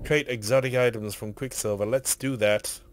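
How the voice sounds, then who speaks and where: A man with a husky, hoarse voice from a cough, rambling casually while streaming a game and reading item text aloud. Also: mid-range voice, fairly even intonation, normal speed, a little hiss or hum.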